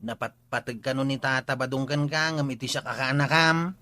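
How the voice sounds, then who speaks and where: An actor with an Ilocano accent playing a frank, needling village gossip in a radio comedy drama. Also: male voice, medium pitch, fairly even intonation, moderate pace, sharp tone, lightly acted.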